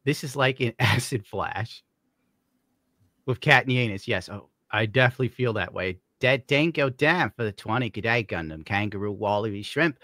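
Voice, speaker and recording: A man's voice: mid-range voice, lively intonation, moderate pace, pushed and bright, no echo, studio quality. A man hosting a livestream, reading donations and bantering casually in a blunt, profane, mocking way. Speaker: livestream host.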